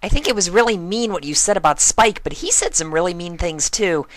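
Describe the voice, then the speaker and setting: A man's voice: high-pitched, animated, brisk, fairly bright tone, no echo, voice pushed up. A man voicing a bubbly, childlike, eager female pony in a storybook reading. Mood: perky, concerned. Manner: perky, eager.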